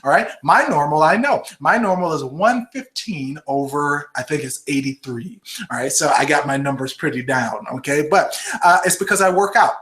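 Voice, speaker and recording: Bright, chatty man in his forties. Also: on the high side, moderate pace. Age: 40s. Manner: chatty, peppers sentences with "okay?" and "all right?". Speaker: host of a live health webinar. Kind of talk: casual live-stream Q&A. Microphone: computer microphone.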